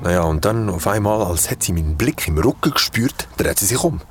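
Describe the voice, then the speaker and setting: A man's voice: low-pitched, lively intonation, fairly quick, soft background music. A man playing a grown-up recounting a haunting childhood memory in an audio drama, casual and confiding, with a Swiss German accent. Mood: haunted recollection. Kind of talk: colloquial storytelling in audio drama.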